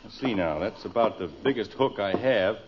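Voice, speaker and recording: An American man acting an excitable, self-assured suburban father in a 1950s radio comedy. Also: fairly low pitch, normal speed, close to an everyday voice. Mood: confident. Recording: muffled, noisy old-time radio recording.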